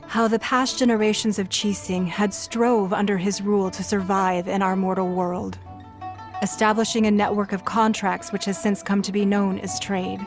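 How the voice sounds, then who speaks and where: An actress playing a dignified, diplomatic civic leader in a video game, speaking formally and with measure. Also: female voice, medium pitch, natural voice. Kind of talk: English video game voice acting. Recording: music playing behind the voice.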